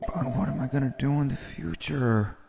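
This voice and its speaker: A man's voice: medium pitch, moderate pace, vocal fry, music in the background, natural voice. A man voicing an exhausted, polite young office worker who gets flustered, in an earnest manga dub style. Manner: earnest, weary, expressive. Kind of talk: anime-style manga dub narration and dialogue.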